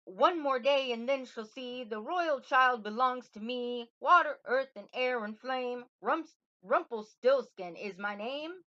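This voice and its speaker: A woman's voice: on the high side, lively intonation, slow, bright, forceful tone, pitched above the performer's own voice, heavily acted. A woman voicing a gleeful, hot-tempered little fairy-tale man with an Irish accent, sing-song and taunting in a storybook reading. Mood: gleeful, boastful. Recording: roomy, dull lo-fi home recording.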